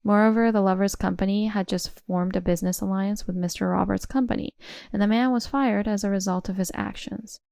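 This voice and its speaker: A woman narrates a scripted first-person story. Her mid-pitched voice has a creaky edge. The recording is very dry, with no audible room. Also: steady tone, fairly quick, a little mellow, no echo.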